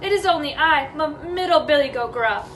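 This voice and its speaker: A woman's voice: high-pitched, lively intonation, a little slow, far from the mic, slight background noise. A woman voicing a timid middle-sized male billy goat in a storybook, stammering and pleading. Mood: frightened, pleading.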